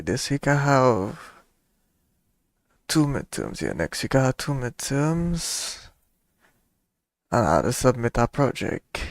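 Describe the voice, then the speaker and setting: A young man streams a game and chats in a slangy, rambling way. His pitch moves around a lot and often drops into creak, and the sound is dry with little room. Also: no room sound. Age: young adult. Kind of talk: casual chat over gameplay.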